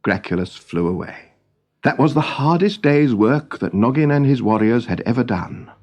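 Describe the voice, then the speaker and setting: A man reading a scripted children's story in a measured, expressive storytelling manner. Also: medium pitch.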